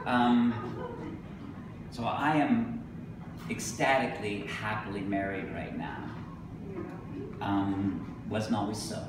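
A middle-aged man giving a live poetry reading, speaking deliberately with emphatic pauses. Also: on the low side, steady tone. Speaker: poet. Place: indoor venue with a staircase, live reading. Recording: echoey room from a distance, noisy.

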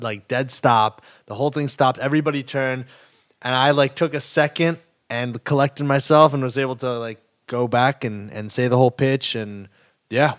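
A young man telling a story on a podcast, casual and chatty, with lots of filler words. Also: medium pitch. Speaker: kombucha company co-founder.

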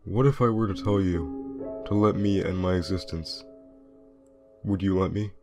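A man as a slow, low, weary skeleton. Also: fairly even intonation, over music. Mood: pleading. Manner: downcast, hesitant, pleading. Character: weary, resigned male skeleton, pleading to end himself. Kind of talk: subdued comic dub acting.

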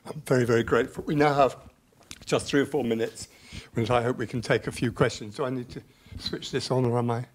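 A man's voice: medium pitch, fairly even intonation, a little fast, a little hiss or hum. A man hosting a college lecture, thanking the speaker and opening questions in a polite, hesitant way. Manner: hesitant, polite, with fillers and restarts. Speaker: event host.

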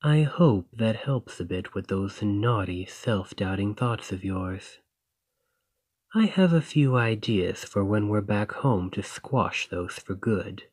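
A man playing a devoted, gentle boyfriend in a romantic ASMR roleplay, soft and husky, with a tender, reassuring manner. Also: lowish voice, expressive, slow pace, dry recording, heavily acted. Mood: loving, earnest.

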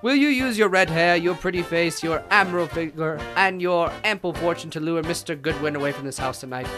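A man's voice: on the high side, brisk, over music, lightly acted. A man playing a shrewd, pompous, imperious armchair detective in an old-time radio drama, speaking formally and theatrically. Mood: wry.

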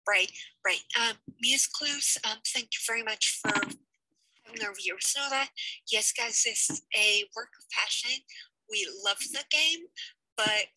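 A woman's voice: a little high, bright, forceful tone, no room sound, poor audio. A young woman talking casually over a headset on a video call from a small room at home, rambling and hesitant with many fillers. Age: young adult. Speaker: let's play project organizer. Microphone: headset mic on video call.

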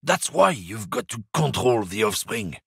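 Swaggering middle-aged trucker, husky voice, bouncy sneering delivery, dry studio sound, crude and boastful. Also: male voice, fairly low pitch, sing-song, unhurried, dry recording, full character voice. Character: bigoted middle-aged male lorry driver. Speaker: video game character.

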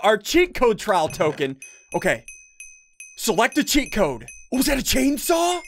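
A young man giving excitable game commentary to his viewers, laughing often, on a headset mic at his desk at home. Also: high voice. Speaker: gaming YouTuber.

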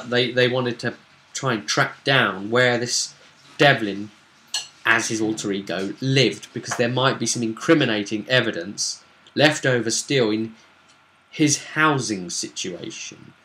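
A British man in his thirties casually recounting a tabletop game to camera in a halting, unscripted way, in a small room at home. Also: mid-range voice, pushed and bright, rough recording. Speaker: game master recapping a tabletop role-play session.

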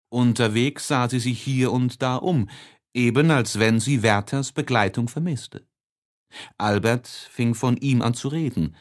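A male audiobook narrator reads classic literature with dramatic, measured delivery. His medium-pitched voice moves through a very lively range, rising and falling expressively.

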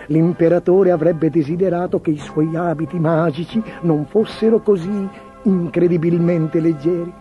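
A man narrating a scripted fairy tale for children as a storyteller. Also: sits high. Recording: dull, muffled lo-fi track. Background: music.

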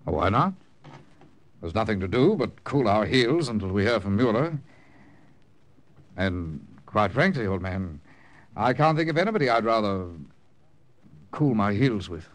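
An actor playing a hot-headed, suspicious wartime corporal in an old-time radio drama, excitable and insistent. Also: male voice, on the low side, measured pace, pauses often. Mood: casual, smug.

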